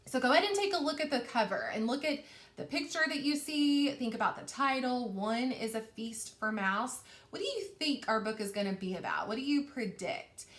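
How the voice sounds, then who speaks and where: Expressive woman reading aloud, roomy echo. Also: fairly high pitch, moderate pace. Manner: expressive, stretching key words, checking understanding. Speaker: teacher reading aloud to children. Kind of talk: children's storybook read-aloud with questions. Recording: roomy, echoing indoor sound.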